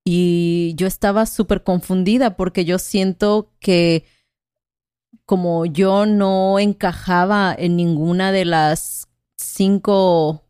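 A woman's voice: fairly low pitch, darkish tone, clear, resonant tone, no room sound, studio quality. A woman from Mexico in casual conversation, talking thoughtfully and hesitantly, often ending with "¿no?". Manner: thoughtful, hesitant, with frequent "¿no?" tags.

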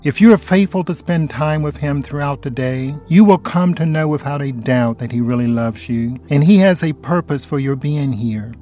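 A man's voice: fairly high pitch, moderate pace, few pauses, faint music behind. Man giving earnest Bible teaching. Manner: earnest, steady delivery. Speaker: Bible study co-host.

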